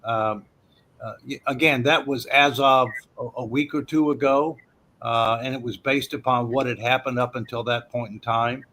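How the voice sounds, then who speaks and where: A man's voice: medium pitch, fairly even intonation, normal speed, sharp tone, a little hiss or hum. A man hosting an economics forum, explaining policy effects with frequent hesitations and "okay" checks.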